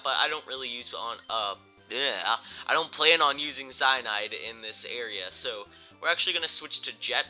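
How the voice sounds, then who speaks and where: A man's voice: medium pitch, pushed and bright. A young man with a stuffy, congested voice, casually commenting on his gameplay, rambling with many ums and self-corrections. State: stuffy nose from a cold. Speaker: gaming YouTuber. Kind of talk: casual Let's Play commentary. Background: game music.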